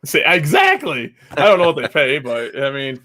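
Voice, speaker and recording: A man hosting a casual podcast chat, talking loudly and rambling with many fillers, his mic set about two feet away. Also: fairly high pitch, huge pitch swings, moderate pace. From Wisconsin. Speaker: podcast host.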